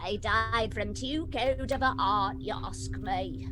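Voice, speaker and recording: A woman's voice: mid-range voice, takes it slowly, natural voice. An actress playing a cynical scavenger woman in an audio play, speaking bluntly with theatrical delivery. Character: cold, cynical working-class woman scavenger. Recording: noisy audio-play recording.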